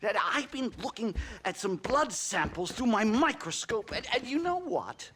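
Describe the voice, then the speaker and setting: A middle-aged actor playing an eccentric, nervous anthropology professor who stammers, fusses and bursts into excited lecturing in a game cutscene. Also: male voice, very high-pitched, normal speed, breathy edge, full character voice. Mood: eager lecturing. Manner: stammering, fussy, giddy bursts. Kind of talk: video game cutscene acting.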